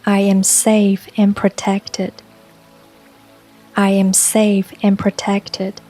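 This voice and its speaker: A woman narrating a scripted guided meditation in a calm, soothing, unhurried way, with long pauses between phrases. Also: mid-range voice. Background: soft music and rain sounds.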